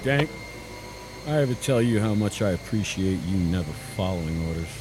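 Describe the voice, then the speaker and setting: A man's voice: low voice, animated, moderate pace. A man playing an earnest scientist in a sci-fi audio drama, with a dramatic way of talking. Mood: resigned, fond. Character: earnest, astonished scientist on a perilous expedition. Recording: noisy background hum.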